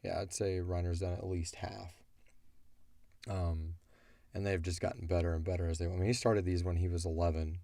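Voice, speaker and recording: Deep, mellow middle-aged man, casual. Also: level tone, normal speed, creaky, dry recording. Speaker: audio drama creator and show host. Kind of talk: casual Q&A chat about a show.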